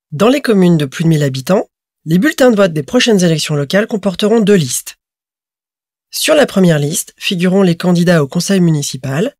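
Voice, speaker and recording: Woman narrator, scripted explainer read, clear and measured, mid-range voice. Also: mid-range voice, a little mellow, no room sound, clean studio sound.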